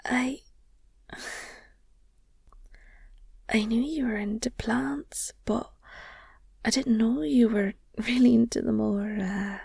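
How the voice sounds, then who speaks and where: A woman playing an affectionate, nerdy werewolf girlfriend in an intimate audio roleplay, soft and breathy, often near a whisper, speaking tenderly. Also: on the high side, fairly even intonation, no echo, clean studio sound, heavily acted. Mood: hesitant, curious. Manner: gushing, tender, hesitant with pauses and sighs.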